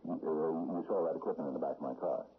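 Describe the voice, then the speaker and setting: A man's voice: a bass voice, quick pace. An actor playing a timid, nervous city man who stammers and hesitates with many fillers in a 1970s radio drama. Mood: evasive. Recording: tinny lo-fi old radio broadcast with faint hiss.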